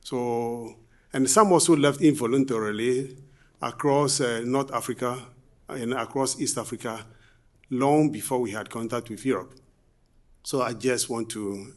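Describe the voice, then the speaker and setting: A man from Ghana speaks at a history conference with a voice of middling pitch. He delivers his lecture in a measured way and often pauses on "uh" and "um". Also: a little mellow. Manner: measured, with frequent uh and um fillers. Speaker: guest speaker. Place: conference hall.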